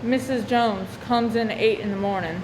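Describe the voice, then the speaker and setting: A woman's voice: medium pitch, fairly even intonation, moderate pace, a little mellow, lightly acted. A woman playing a distraught, despairing wife in a radio drama. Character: distraught, despairing young wife who has killed. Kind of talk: radio play acting. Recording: echoey room with faint noise.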